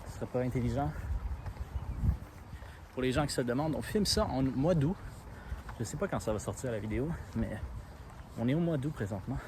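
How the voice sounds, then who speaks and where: A young man with a Quebec French accent, thinking aloud in a relaxed, plain way as he walks city streets, recording himself on his phone. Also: mid-range voice, brisk, pauses often, noisy background. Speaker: seeker in hide-and-seek vlog. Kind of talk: casual self-filmed vlog narration.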